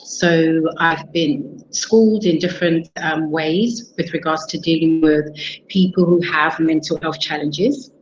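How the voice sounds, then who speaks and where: A woman guest introducing herself on a talk show, speaking in an unhurried way with fillers. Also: low-pitched, fairly even intonation, a little hiss or hum. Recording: echoey, dull call audio.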